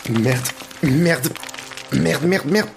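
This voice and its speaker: A man's voice: a little high, very slow, creaky, over music, exaggerated voice. An actor playing a cocky male thief in an audio drama, muttering to himself and foul-mouthed. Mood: panicked, cursing. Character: cocky, cursing male burglar on a job. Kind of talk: French audio drama acting.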